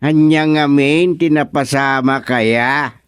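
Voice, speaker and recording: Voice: mid-range voice, steady tone, slow pace, clear, resonant tone, dry recording, close to an everyday voice. An actor playing a patient, easily amused village chairman in an Ilocano radio comedy, questioning and reacting with interjections. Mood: amused, curious. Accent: Filipino, Ilocano.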